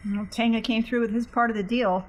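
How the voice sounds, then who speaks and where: A woman's voice: a little high, steady tone, fairly quick, poor audio. A woman chatting casually as a player in a tabletop game. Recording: clear background noise.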